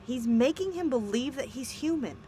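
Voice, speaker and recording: A woman's voice: high voice, expressive, moderate pace, mellow, a little hiss or hum. A woman playing a principled, concerned doctor in an audio drama, earnest and articulate. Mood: insistent. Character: principled, concerned female doctor, insistent yet tender. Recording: music under the voice.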